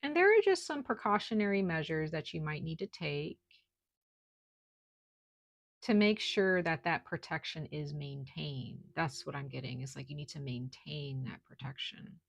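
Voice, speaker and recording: Soft-spoken woman with a gravelly low voice. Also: expressive, normal speed, no room sound. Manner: speaks softly at times, lots of "like" and "okay?". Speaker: tarot reader. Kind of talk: casual spiritual reading to camera. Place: at home, noise outside.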